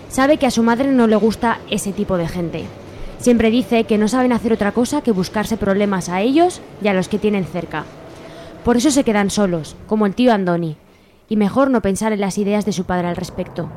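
A woman's voice: medium pitch, darkish tone, a little hiss or hum. A woman giving scripted narration for a radio serial.

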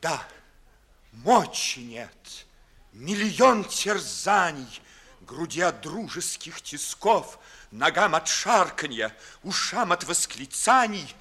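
Impassioned nobleman, slow, swooping declamation. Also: fairly high pitch, very animated, pushed and bright, husky, heavily acted. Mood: anguished, weary. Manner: declamatory, rhetorical, sardonic. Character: impassioned, disillusioned young nobleman, bitterly witty idealist. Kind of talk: classic verse comedy, 1950s radio theatre acting.

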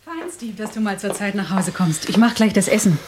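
A woman's voice: medium pitch, brisk, a little mellow, a little hiss or hum, lightly acted. An actress playing an affectionate, wryly teasing policeman's wife in a crime radio drama. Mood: fond, teasing.

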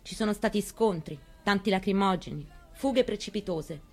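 A woman performing a dramatic reading of a memoir on the radio, telling it in the first person in a quiet, measured way. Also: mid-range voice, faint music behind. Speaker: reader. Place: radio studio.

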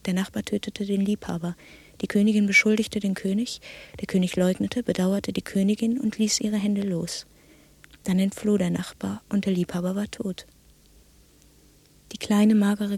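Solemn fairytale teller in radio play, hushed breathy creaky voice, even and detached. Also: female voice, medium pitch, dry recording. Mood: grave, detached. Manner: measured, detached storytelling. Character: subdued, solemn woman telling a dark fairy tale. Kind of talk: literary storytelling in German radio drama.

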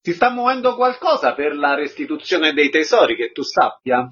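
A man's voice: fairly high pitch, very animated, moderate pace, fairly bright tone, slightly rough. A man hosting a radio interview, reading introductions and putting questions to his guest. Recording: dull, noisy lo-fi recording.

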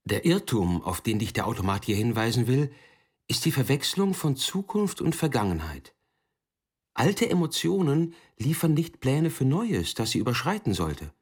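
A man playing an explainer in a literary radio play, emphatic and didactic, addressing the listener directly in a husky voice. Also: medium pitch, big pitch swings, moderate pace. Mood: emphatic, explanatory. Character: male explainer speaking for a loving automaton.

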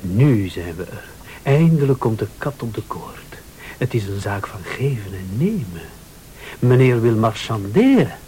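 An actor playing a cool, defiant scheming husband in a radio thriller, curt and sardonic. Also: male voice, fairly low pitch, very animated, a little slow, dark tone, a little hiss or hum. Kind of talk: Dutch-language radio thriller acting.

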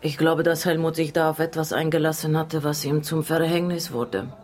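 A woman's voice: sits low, steady tone, moderate pace, a little mellow, natural voice. An actress playing a guarded, dismissive girlfriend of a murdered man in a radio drama. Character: guarded Ukrainian woman, murdered man's girlfriend.

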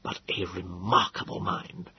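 A man voicing a cautious, blustering British gentleman who speaks slowly and in hushed tones. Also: lowish voice, very animated, a little hiss or hum. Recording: dull lo-fi recording.

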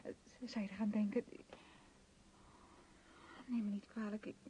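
A woman's voice: mid-range voice, level tone, unhurried, warm tone, a little hiss or hum, lightly acted. An actress playing an anxious young woman in a radio play, pleading with her fiancé in hesitant, stammering phrases. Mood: hesitant, wistful. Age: young adult. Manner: hesitant, stammering, repeating words. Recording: muffled old radio drama recording.

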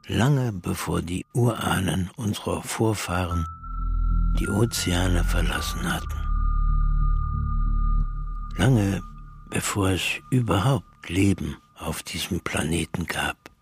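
Deep, gravelly, solemn ominous storyteller. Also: male voice, expressive, faint music behind, heavily acted. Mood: solemn, mysterious. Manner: solemn, drawn-out, poetic. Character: ominous ancient storyteller of cosmic mystery. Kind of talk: radio drama monologue.

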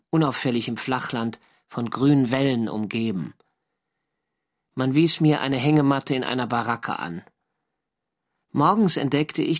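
An actor playing a navy sailor who narrates his wartime diary in an earnest, wry and measured literary way for a radio drama. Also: medium pitch, lightly acted. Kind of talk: scripted first-person radio drama narration.

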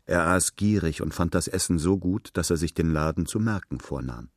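A man's voice: low-pitched, animated, normal speed, dry recording, studio quality. A man reading literary fiction aloud as a scripted narration.

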